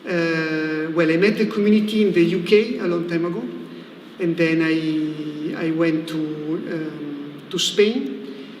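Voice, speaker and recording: A high-voiced Italian man speaks as a missionary priest in a livestream interview. His English has an Italian accent and he pauses to search for words. The room rings with long echo over faint noise. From Italy. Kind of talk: conversational religious talk in a livestream interview.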